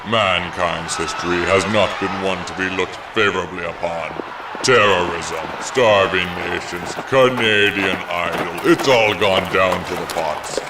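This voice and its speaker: A man's voice: sits low, measured pace. An actor playing a haughty horseman of the apocalypse with a pompous manner in a comedy radio sketch. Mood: pompous disdain. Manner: pompous, then hesitant like an interviewed athlete. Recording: noisy, distant outdoor field-report sound.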